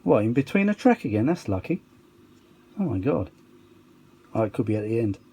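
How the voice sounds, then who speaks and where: A man's voice: medium pitch, big pitch swings, normal speed, warm tone, slightly rough. A man giving a casual, rambling hobbyist demonstration, chatty and self-deprecating, with muttered asides. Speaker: vintage audio hobbyist presenting a restoration.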